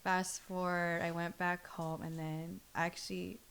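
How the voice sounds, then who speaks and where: A young adult woman, telling personal stories in a casual, animated, slangy way. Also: fairly low pitch, unhurried, clean tone. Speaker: podcast guest. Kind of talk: casual chat, personal storytelling.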